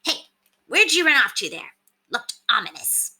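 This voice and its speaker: A woman's voice: a little high, big pitch swings, moderate pace, bright, forceful tone, slightly rough, pitched above the performer's own voice, full character voice. A woman voicing a chatty, cynical small male rat in a storybook, grumbling and informal.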